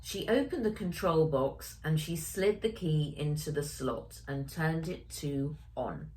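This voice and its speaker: A woman author reading her children's story aloud in an expressive storytelling style. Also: deep voice, unhurried, low-quality recording.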